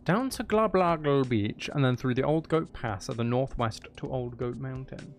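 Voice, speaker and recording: A man's voice: medium pitch, expressive, moderate pace, soft background music. A man streaming a video game, thinking aloud in a casual, playful running commentary. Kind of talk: casual live gameplay commentary.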